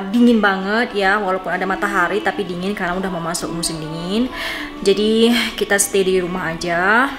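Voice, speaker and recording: A woman from Indonesia, chatting casually to viewers in an informal, rambling, giggly vlog style. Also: mid-range voice. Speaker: vlogger.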